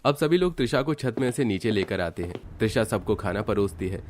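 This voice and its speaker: A man reading scripted narration for an animated story. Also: on the low side, brisk, soft background music.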